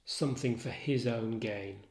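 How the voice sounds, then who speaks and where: A man lecturing as a literature tutor, measured, articulate and instructive in his delivery. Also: mid-range voice, a little mellow. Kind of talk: literature lecture with recited quotations. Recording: roomy echo.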